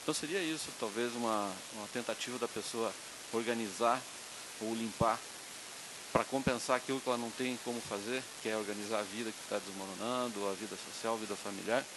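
A man's voice: fairly low pitch, steady tone, fairly quick, noisy background. A man from Brazil in the audience asking a long, hesitant question after a lecture. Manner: hesitant, searching for words. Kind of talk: question from the floor.

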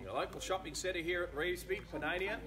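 Australian man, middle-aged auctioneer, high projected voice, repetitive bid patter, outdoor recording with audible crowd. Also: fast talker. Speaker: real estate auctioneer. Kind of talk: live property auction calling with patter. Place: backyard of a house, outdoors. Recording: outdoor crowd sound, filmed from several metres. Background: crowd of onlookers.

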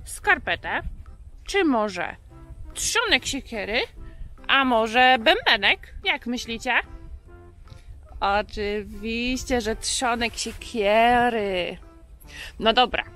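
A cheerful puppet firefighter voiced by a woman playfully quizzes children. The voice is bright and fairly high, very slow and deliberate, over music. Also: full character voice. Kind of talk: children's quiz.

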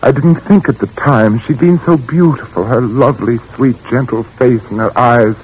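An actor playing a grieving, tormented widower in a 1940s radio drama, pleading and anguished. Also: male voice, medium pitch, normal speed, a little mellow, slightly rough, natural voice. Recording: dull old radio recording with faint noise.

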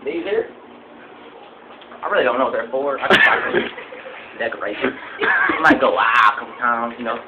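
A man playing a boastful, broke rural hunter who shows off his trophies in a rambling, deadpan way. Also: medium pitch, normal speed, long pauses, narrow, tinny audio, very noisy, natural voice. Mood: bragging. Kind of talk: home comedy sketch.

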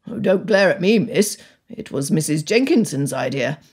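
A woman plays a middle-aged gentleman father in an audiobook. He is stern yet drily teasing, drawling in measured phrases. The voice has a husky edge. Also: deep voice, animated, voice pushed down. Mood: dry amusement. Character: stern, wry upper-class father, middle-aged man. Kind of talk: dramatised audiobook dialogue.

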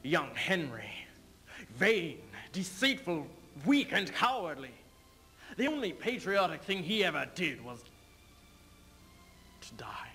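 A man's voice: on the high side, big pitch swings, slow, pauses often, bright, forceful tone, faint music behind, full character voice. A young man playing a proud, sardonic aging king in a stage reading, mocking and declamatory. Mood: bitter, aggrieved. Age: young adult. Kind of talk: theatrical duo interpretation, stage reading. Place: indoor stage in front of curtain.

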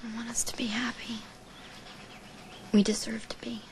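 A soft, devoted young heiress. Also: female voice, a little high, normal speed, gravelly. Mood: earnest tender. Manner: soft, often whispery and reassuring. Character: devoted, earnest young woman from a wealthy family. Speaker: actor in a daytime soap. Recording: TV soap audio with steady background noise.

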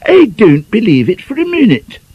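A man's voice: sits high, huge pitch swings, normal speed, breathy edge, dry recording, dull audio, voice pushed up, full character voice. A man voicing a prim young woman of twenty-four who protests indignantly at her friend's gossip.

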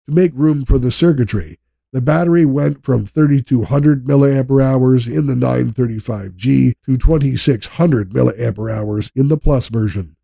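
A man narrates a scripted product review in a measured, explanatory manner. His voice has a mellow tone with some gravel in it, and it is recorded close and dry. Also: mid-range voice, no room sound.

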